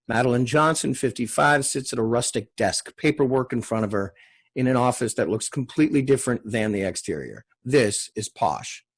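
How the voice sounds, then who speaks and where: A man's voice: mid-range voice, lively intonation, normal speed, husky. A man narrating screen directions as a reader at a TV drama table read.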